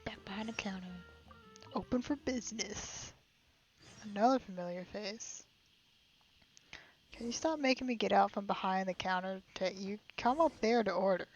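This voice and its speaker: A woman streams a game in a mid-pitched voice, chatting casually with playful, wry asides. The sound is a little dull, with soft music underneath. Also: moderate pace, pauses often. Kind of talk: casual live game playthrough commentary. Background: faint game music.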